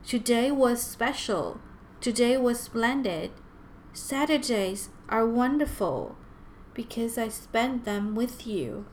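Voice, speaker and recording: A woman's voice: a little high, animated, slow pace, slight background noise, pitched above the performer's own voice. A woman voicing a young girl from a picture book, easily upset yet sweet and comforting. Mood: tender, reassuring. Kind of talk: children's picture-book read-aloud.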